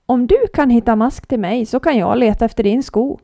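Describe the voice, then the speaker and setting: A woman voicing a polite male frog, a busy father, with a croaking, formal manner. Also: fairly high pitch, mellow, dry recording, clean studio sound, lightly acted. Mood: courteous.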